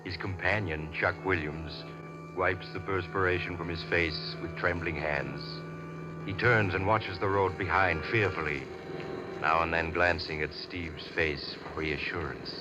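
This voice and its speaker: An actor playing a genteel, sinister mysterious traveler who narrates 1940s radio suspense in a slow, ominous American delivery. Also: male voice, low-pitched, steady tone. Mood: ominous, inviting. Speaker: host and narrator. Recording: muffled old radio recording, loud music.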